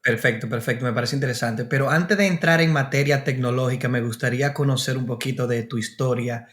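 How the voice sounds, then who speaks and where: A man's voice: mid-range voice, a little fast, fairly bright tone. A man with a Dominican accent hosting a podcast, giving prepared introductions and asking questions. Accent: Dominican Spanish. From Dominican Republic. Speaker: podcast host. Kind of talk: podcast interview.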